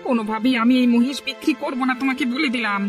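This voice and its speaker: A man's voice: extremely high pitch, quick pace, faint music behind. A man voicing a kind, stubborn poor village husband in a cartoon, earnest and protesting. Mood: indignant. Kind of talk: Bengali cartoon story dialogue.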